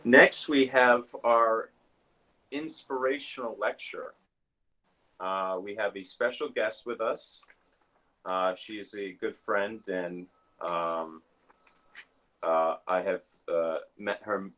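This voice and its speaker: A minister hosting an online service, giving announcements and introducing a guest in a halting way, with many filler sounds. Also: male voice, on the low side, fairly bright tone.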